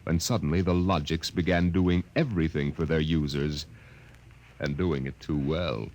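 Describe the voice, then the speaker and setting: A man narrating as a 1950s radio announcer in a grand, dramatic, measured manner. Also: very deep voice, fairly even intonation, lo-fi sound. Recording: old radio broadcast over loud music.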